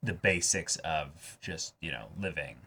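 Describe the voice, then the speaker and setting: Deep-voiced man talking through ideas. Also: animated, measured pace, bright, forceful tone, breathy edge. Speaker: co-host of an anime reaction show. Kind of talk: casual analytical discussion.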